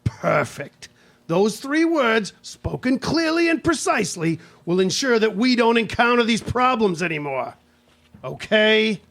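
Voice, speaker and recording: An exasperated office-worker husband played by a man in an old-fashioned domestic radio play. His voice sits fairly high with some creak, and he scolds and questions with emphatic, nagging insistence. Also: very animated, unhurried, a bit bright, full character voice. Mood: exasperated, scolding. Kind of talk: live radio play acting.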